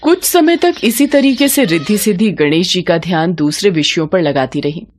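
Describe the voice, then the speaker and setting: A woman narrating a scripted devotional story in a measured, expressive storytelling manner. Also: fairly low pitch, slight background noise.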